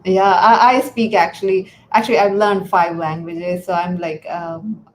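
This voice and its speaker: A woman's voice: fairly low pitch, flat delivery, unhurried. Woman host, native Urdu speaker, chatty and searching for words, faint hiss under the voice. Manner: hesitant, many fillers and restarts, laughs often. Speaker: podcast host. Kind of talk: casual interview chat.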